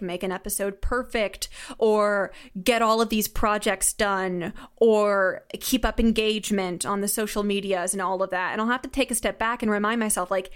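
A woman's voice: medium pitch, smooth. A woman aged nineteen talking casually and candidly as an interview guest, chatty and self-deprecating. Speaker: guest, audio drama writer and sound designer.

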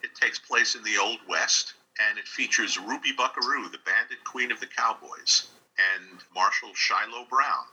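A man's voice: fairly low pitch, normal speed, sharp tone, slightly rough, poor audio. A man from New York State answering interview questions casually and animatedly, often repeating his phrases. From New York State, United States. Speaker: interview guest, radio drama writer and director.

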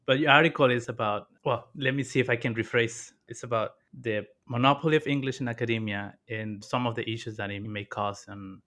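A man hosting a podcast, introducing his guest and asking questions in a friendly, halting way. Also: mid-range voice, a bit bright. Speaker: podcast host.

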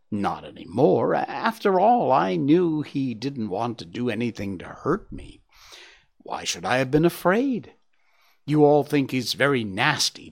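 A man's voice: medium pitch, vocal fry, husky, full character voice. A man voicing a naive, boastful young male deer in a storybook reading, stammering when unsure. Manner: boastful, proud.

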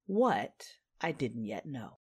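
A woman playing a resolute, battle-hardened female Jedi who tells her story in measured, dramatic first-person narration for an audio drama. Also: extremely low pitch, very animated, slightly rough, exaggerated voice. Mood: intimate, reflective. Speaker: narrator and lead character.

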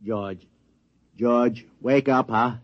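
A man's voice: mid-range voice, fairly even intonation, very slow. An actor playing an affable, placating man in a 1950s radio drama, coaxing and a little hesitant. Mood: urging. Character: placating, affable male escort to a talking mouse. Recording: dull 1950s radio recording.

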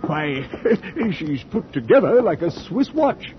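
A man's voice: fairly high pitch, sing-song, slow pace. An actor playing a genial, boastful shipowner in a 1940s radio drama, effusive as a salesman. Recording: muffled 1940s radio recording with music.

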